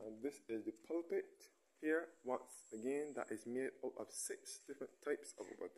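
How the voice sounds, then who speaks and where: A man's voice: mid-range voice, normal speed, vocal fry. Man giving a walking tour. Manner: relaxed, pointing things out as he walks. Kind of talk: casual guided walking tour. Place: outdoors in a churchyard, then inside a large church.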